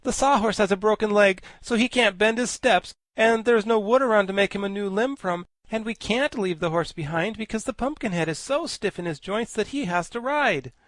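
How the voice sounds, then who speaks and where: A man's voice: high voice, normal speed, hardly any pauses, a bit bright, dry recording, voice pushed up. A man voicing an earnest young boy who speaks plainly and eagerly.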